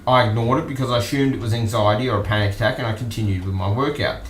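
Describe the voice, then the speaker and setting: An Australian man hosting an online news stream, commenting casually and off the cuff in a halting, musing way. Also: medium pitch, a bit bright, a little hiss or hum. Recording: roomy echo with music behind.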